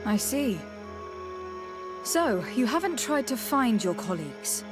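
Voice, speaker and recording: An actress voicing a composed, diplomatic noblewoman investigator in a video game, speaking in a measured, formal way. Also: fairly high pitch, sing-song. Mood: calm, questioning. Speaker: game character voice actor. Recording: background music behind the voice.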